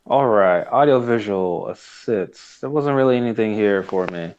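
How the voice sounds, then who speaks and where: Lowish male podcaster, dull lo-fi sound. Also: moderate pace, darkish tone. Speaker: podcast host. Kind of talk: casual podcast review chat.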